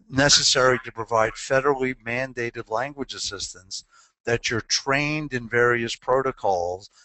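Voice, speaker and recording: A man's voice: mid-range voice, fairly bright tone, no room sound, poor audio. A man presenting a webinar on legal compliance, answering a question earnestly and posing rhetorical questions.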